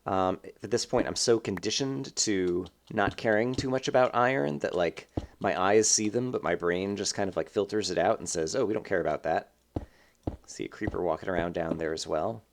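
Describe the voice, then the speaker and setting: Lowish-voiced man rambling over gameplay. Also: normal speed. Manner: rambling, thinking aloud with many fillers. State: slightly stuffed up. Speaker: let's play host. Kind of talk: casual gameplay commentary.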